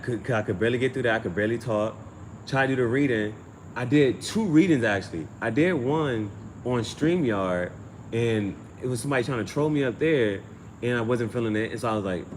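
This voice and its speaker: Casual slangy man over noisy background. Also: mid-range voice. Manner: slangy, profane, repeats phrases while thinking aloud. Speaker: amateur tarot reader and channel host. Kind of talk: casual livestream storytelling.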